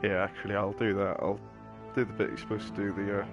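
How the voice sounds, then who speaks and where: A man's voice: lowish voice, level tone, fast, muffled recording, over music. A man giving casual live commentary as a game streamer, rambling in an offhand way. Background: game cutscene audio.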